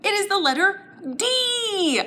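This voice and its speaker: A woman's voice: extremely high pitch, very animated, slow, bright, forceful tone, a little hiss or hum. A woman leading a children's story time lesson, playful and exaggerated, encouraging little listeners with questions and sing-along phrases.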